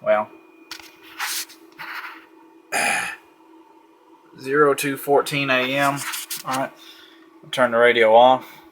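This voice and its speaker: A man's voice: medium pitch, fairly even intonation, slow pace, long pauses, a bit bright, a little hiss or hum. A man giving a casual, easygoing walkthrough of a radio at a home desk late at night, thinking aloud with frequent fillers. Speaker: radio reviewer.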